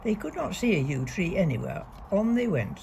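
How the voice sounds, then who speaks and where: Woman storybook reader for young children, very creaky voice, lively melodic swings, emphatic key words, faint background noise. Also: lowish voice. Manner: deliberate, expressive read-aloud with emphasised key words. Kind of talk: reading a young children's picture book aloud.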